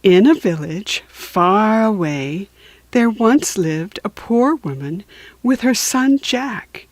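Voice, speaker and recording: A woman reading a children's storybook aloud for beginning readers, deliberate and patient, with chatty asides to listeners. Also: mid-range voice, animated, no echo. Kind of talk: slow read-aloud storybook narration for beginners.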